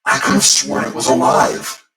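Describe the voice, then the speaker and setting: A man's voice: moderate pace, pushed and bright, close to an everyday voice. A man voicing a naive, curious young male lodger in a spooky story, speaking courteously and a little hesitantly. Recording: echoey, distant voice over music.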